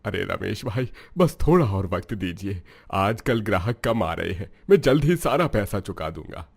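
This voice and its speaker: An actor voicing a poor, humble roadside cook and worried father in a cartoon story, polite and soft-spoken. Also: on the low side, expressive, moderate pace, gravelly, slightly rough, exaggerated voice. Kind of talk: cartoon voice acting.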